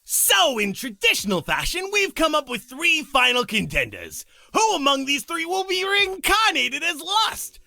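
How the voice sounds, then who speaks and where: A high, bright voice a flamboyant demon game show emcee in a visual novel. The delivery is teasing and theatrical, leaping wildly up and down, with faint music behind. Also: sing-song, measured pace, creaky, few pauses, no echo, studio quality, full character voice. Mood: showboating. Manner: theatrical, teasing, gleefully announcing. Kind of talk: character acting in a visual novel.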